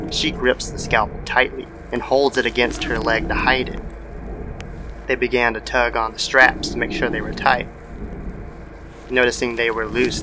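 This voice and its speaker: A man's voice: medium pitch, noisy background. A man narrating a scripted horror story in the style of old-time radio. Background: background music.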